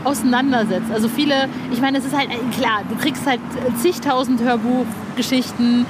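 High-voiced woman hosting a casual podcast. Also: normal speed, very noisy. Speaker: podcast host, music journalist. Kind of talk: casual chatty interview.

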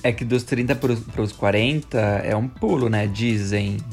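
Wry Brazilian man with quick remarks. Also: lowish voice, creaky, darkish tone, faint music behind. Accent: Brazilian Portuguese. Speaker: podcast co-host. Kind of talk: casual podcast chat.

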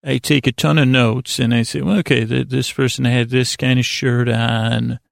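A man with a creaky voice hosting a sleep podcast, delivering a hesitant, meandering, self-correcting bedtime monologue in a lulling, soothing way. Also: mid-range voice, flat delivery, normal speed, no room sound, clean studio sound.

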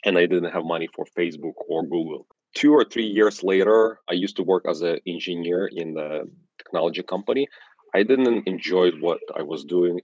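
A man's voice: sits low, no echo. A man from Ukraine with a Ukrainian accent, a guest speaking in a casual podcast interview, often restarting and repeating words. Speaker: guest, agency founder. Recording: dull, dry sound.

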